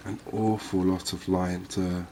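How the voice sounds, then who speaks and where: A man doing soft-spoken ASMR game commentary in an unhurried, calming, reassuring manner. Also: deep voice, slight background noise. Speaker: host of a cozy gaming channel.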